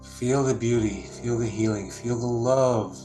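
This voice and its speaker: A man leading a guided healing meditation, speaking slowly and soothingly with long pauses. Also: medium pitch, music in the background, low-quality recording.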